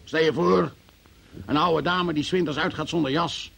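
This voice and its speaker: An actor in a radio drama playing a stern, sardonic, relentless police interrogator who questions formally and presses hard. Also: male voice, fairly high pitch, fairly even intonation, normal speed, vocal fry, a bit bright, slightly rough, full character voice. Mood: sceptical, pressing.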